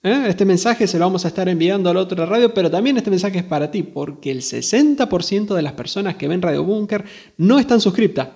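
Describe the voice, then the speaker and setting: A man hosts an informal hands-on tech demo. His voice sits a bit high for a man, with a marked creak running through it. He speaks casually and directly to his viewers. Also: a little mellow. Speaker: video host demonstrating radio gear.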